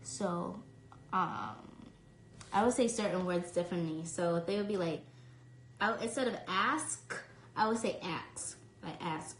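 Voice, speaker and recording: A woman's voice: fairly low pitch, measured pace, a little hiss or hum. Young woman, casual live-stream chatter, full of fillers. Phone mic, echoey room. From New York. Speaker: live streamer answering fan comments. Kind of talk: casual chat.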